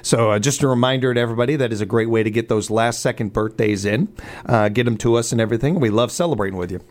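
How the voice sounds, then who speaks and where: Hoarse easygoing male radio host. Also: mid-range voice, fast talker, a little mellow. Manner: easygoing, chatty, laughs often. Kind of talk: casual morning radio banter. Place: radio studio.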